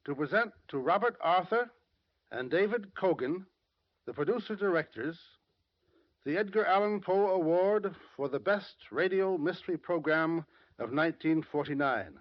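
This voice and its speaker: A man's voice: fairly high pitch, expressive, normal speed, dry recording. A man giving a formal, scripted presentation speech on 1940s radio. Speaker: award presenter. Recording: muffled vintage radio recording.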